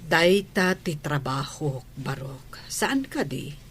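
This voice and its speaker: A devoted household nanny in a radio drama. Her voice runs fairly low and swings widely in pitch, with noise clearly behind it. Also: lively intonation, slow, fairly bright tone, heavily acted. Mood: doting. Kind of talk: radio drama acting in Ilocano.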